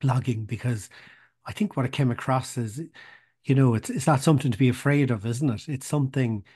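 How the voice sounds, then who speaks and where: A man's voice: mid-range voice, a little fast, darkish tone, slightly rough, dry recording, studio quality. A man giving informal closing announcements as a webinar organiser.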